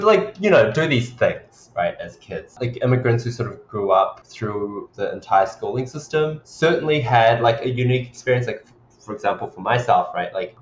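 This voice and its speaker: A man with a New Zealand accent speaks in a fairly low voice, thinking aloud in a relaxed podcast chat. He often tags his points with a quick "right?". The sound is roomy and echoey, with a slightly dull, lo-fi tone. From born in Hong Kong, raised in New Zealand. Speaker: podcast guest. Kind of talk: casual interview chat.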